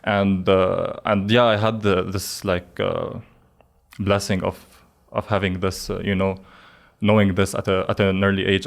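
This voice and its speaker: A young man in his early twenties from Lebanon, speaking as a podcast guest. His voice sits very low and stays flat, and he talks earnestly, stopping often to restart a thought. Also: clear, resonant tone. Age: 20s. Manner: earnest, many fillers and restarts. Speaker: guest, digital marketing specialist. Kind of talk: casual podcast interview.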